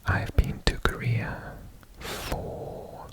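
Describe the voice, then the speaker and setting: A man from Wales, whispering a quiz about himself for an ASMR video, repeating single words softly over and over. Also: extremely slow. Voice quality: whispered throughout. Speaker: ASMR creator.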